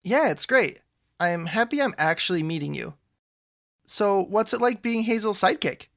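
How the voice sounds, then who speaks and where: Man as an earnest, creaky-voiced young sidekick hero. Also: high voice, lively intonation, moderate pace, fairly bright tone, exaggerated voice. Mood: eager, friendly. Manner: chatty, earnest, self-effacing. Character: earnest, awkward male sidekick hero with antlers. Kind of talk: audio drama dialogue.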